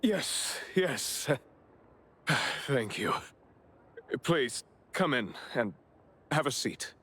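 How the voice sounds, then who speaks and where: An actor playing a distraught, worried father in a video game, speaking in a halting, pleading way. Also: medium pitch, very animated, sharp tone, husky, heavily acted. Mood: anxious, weary. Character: distraught, anxious father of a missing son.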